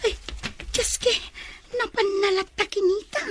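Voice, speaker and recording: A woman's voice: extremely high pitch, fairly even intonation, a little slow, noisy background. A woman playing an evasive, defensive young woman in a radio drama, with a Filipino accent. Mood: flustered, evasive.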